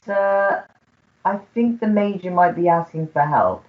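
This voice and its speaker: A woman playing a polite, formal manservant in a radio melodrama, with a deferential, measured manner. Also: fairly low pitch, phone-quality sound, close to an everyday voice. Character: polite, dry, self-serving manservant to a gentleman. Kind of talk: melodramatic radio play acting.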